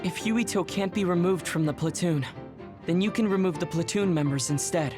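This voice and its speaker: A man voicing a calm, serious youthful soldier in a video game, articulate and matter-of-fact. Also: high-pitched, normal speed, natural voice. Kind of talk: video game cutscene acting. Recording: background music under the voice.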